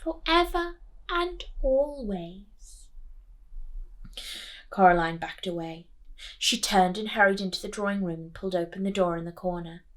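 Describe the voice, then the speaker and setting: A woman teacher reading a children's story aloud expressively, deliberately and with dramatic pauses. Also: fairly low pitch, steady tone.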